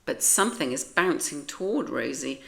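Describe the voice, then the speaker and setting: A woman with a British accent narrating a children's storybook as an expressive read-aloud. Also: low voice, normal speed, creaky.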